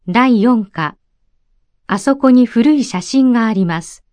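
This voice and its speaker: Brisk woman announcing lesson titles. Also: fairly high pitch, expressive, a little mellow, no echo.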